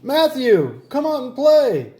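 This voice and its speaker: An excitable, stubborn little boy frog from a children's storybook, acted out by a man. The voice is pitched high and swings around a lot as he whines and hollers in slow, drawn-out cries. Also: exaggerated voice. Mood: hollering, eager.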